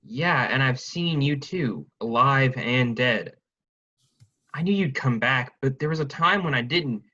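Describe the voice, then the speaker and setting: A man's voice: medium pitch, expressive, measured pace, bright, forceful tone. A man playing a pragmatic, loyal friend and reluctant accomplice in a staged reading with dull sound. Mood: pleading.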